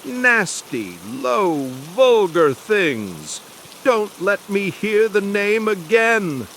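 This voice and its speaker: A man voicing a touchy, indignant talking mouse in a storybook, shrill, passionate and haughty. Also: very animated, very slow, a bit bright, a little hiss or hum, full character voice. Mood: indignant, offended.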